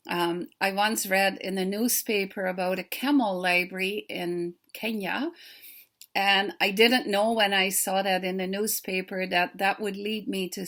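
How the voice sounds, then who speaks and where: Dutch-accented older woman with a gravelly voice. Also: medium pitch. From Netherlands. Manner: warm, earnest storytelling, slow and deliberate. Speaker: children's book author. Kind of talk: recorded video greeting to a festival.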